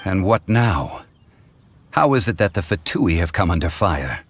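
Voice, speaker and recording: A man voicing a calm, wise male consultant who speaks in a measured, formal way, in a game scene. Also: deep voice, a little hiss or hum. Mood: grave. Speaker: consultant to a funeral parlor. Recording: game audio with music behind.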